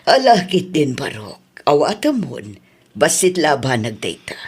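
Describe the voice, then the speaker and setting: A woman playing an elderly, protective grandmother in a radio drama, with a drawn-out, emotive delivery. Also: low voice, big pitch swings, husky, full character voice. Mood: grateful, relieved. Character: elderly grandmother, protective, grateful, easily indignant. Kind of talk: Ilocano radio drama acting.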